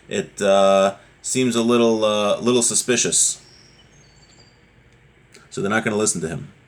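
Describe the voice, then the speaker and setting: A man giving a religious lesson, reading from a text and explaining it conversationally with rhetorical questions. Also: medium pitch. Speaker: religious teacher.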